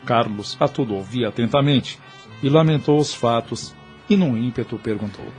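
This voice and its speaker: A man narrates an audio drama with a mid-pitched voice. His storytelling is solemn and literary, with music faintly behind him. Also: moderate pace, darkish tone.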